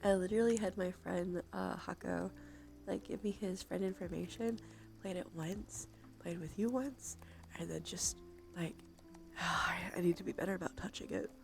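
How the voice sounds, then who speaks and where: Female ASMR livestream host: hushed, often whispered, gravelly fry, congested from allergies, informal and affectionate, faint music underneath. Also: fairly low pitch, steady tone, moderate pace. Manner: breathy, frequent drops into whisper; casual, sweary, affectionate. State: sniffly from allergies; sore, tired throat.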